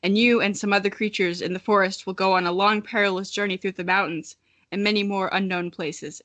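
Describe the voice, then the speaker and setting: A solemn, mysterious wolf spirit from a children's fantasy story, lightly played by a woman reading aloud. The voice is bright and close in a dry space, calmly delivering formal prophecies. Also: medium pitch, brisk, no echo, lightly acted.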